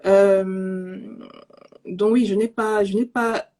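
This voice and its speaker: A woman from Cameroon, raised in Zimbabwe in an English-speaking home, speaking softly and hesitantly with many fillers as an interview guest over a call microphone. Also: mid-range voice, fairly even intonation, slow. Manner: soft-spoken, hesitant with many fillers and restarts. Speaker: guest, pastry entrepreneur. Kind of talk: casual online interview. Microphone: remote call microphone.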